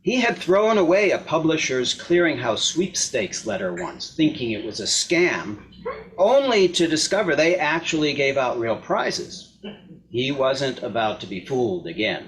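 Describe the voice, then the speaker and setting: A man's voice: medium pitch, sing-song, measured pace, fairly bright tone, poor audio. A man narrating a scripted short story aloud as a reader in a readers theater. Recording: clear background noise, clicks.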